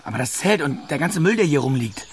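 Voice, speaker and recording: Sceptical man, slightly high, varied pitch. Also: big pitch swings, brisk, slight background noise. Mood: doubtful, resigned. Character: sceptical, resigned man doubting the cover-up. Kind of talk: naturalistic German radio drama acting.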